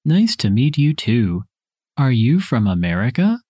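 Husky man reading a textbook dialogue. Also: medium pitch, big pitch swings, measured pace, dark tone, dry recording, studio quality. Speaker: dialogue reader for a listening test.

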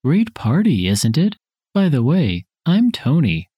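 A man reading his parts in a scripted dialogue for a language listening test, with careful, even delivery. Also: a little high, slow, breathy edge, no room sound, clean studio sound.

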